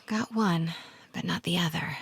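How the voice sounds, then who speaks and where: A woman playing a plucky, quick-witted young female investigative reporter in a video game, with a breathy voice. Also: fairly low pitch, no room sound, studio quality, full character voice. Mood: hushed, focused. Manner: hushed muttering to herself.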